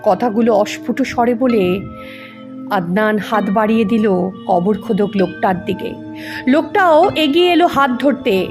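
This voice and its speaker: A woman's voice: on the high side, animated, measured pace. A woman reading a ghost story as dramatic scripted narration. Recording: music under the voice. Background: background music.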